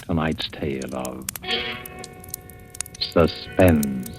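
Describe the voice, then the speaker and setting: An actor playing an ominous, mysterious narrator, announcing formally and portentously for a 1940s radio mystery series. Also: male voice, very deep voice, very slow, exaggerated voice. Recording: old radio broadcast under loud music.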